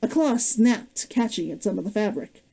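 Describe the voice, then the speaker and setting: A woman's voice: medium pitch, lively intonation, normal speed, gravelly, mellow. A woman author reading her own novel aloud, then chatting about writing and laughing often. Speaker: author and streamer.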